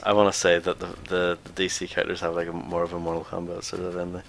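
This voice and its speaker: A man's voice: low voice, completely flat delivery, fast talker, fairly bright tone, slight background noise. A man hosting a gameplay video, talking casually as he plays. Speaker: video host playing a game.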